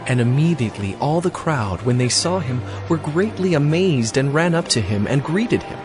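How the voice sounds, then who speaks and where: A man's voice: mid-range voice, lively intonation, moderate pace. A man reading scripture as the narrator of a dramatized audio Bible. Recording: music bed under the voice. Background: background music.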